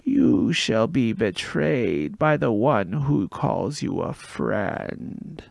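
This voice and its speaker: An ancient oracle spirit speaks slowly in a raspy, slithering rasp that seems to drift from a distance, as a male narrator puts it on. The voice rises high and falls low in eerie swoops. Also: sing-song, no room sound, heavily acted. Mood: ominous.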